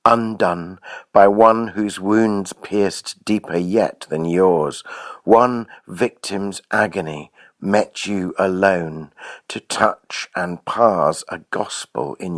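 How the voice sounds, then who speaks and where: A man with a low voice reads solemn verse at a very slow, deliberate pace. The sound is dry and close, with no room around it. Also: no room sound. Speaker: poetry reader. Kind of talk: solemn poetry reading.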